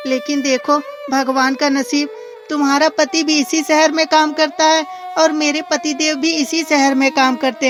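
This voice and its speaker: A woman's voice: high-pitched, voice pushed up. A woman voicing a chatty young married woman, an old college friend, in a cartoon story.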